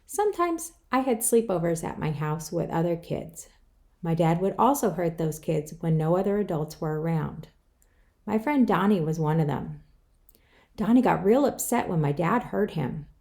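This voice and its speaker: Woman author reading a kids story out loud, lowish voice, bit of vocal fry. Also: lively intonation, normal speed. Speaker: author reading own book aloud. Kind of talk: scripted children's story read-aloud.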